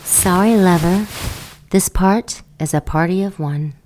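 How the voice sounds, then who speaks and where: A woman's voice: fairly low pitch, unhurried, darkish tone, a little hiss or hum, natural voice, poor audio. An actress playing a seductive, secretive woman in an audio drama, teasing and flirtatious.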